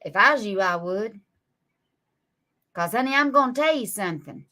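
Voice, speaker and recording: A woman's voice: medium pitch, lively intonation, normal speed, pushed and bright. A woman, scolding and mocking her critics on a live stream in a sarcastic drawl. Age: 40s. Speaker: livestream guest.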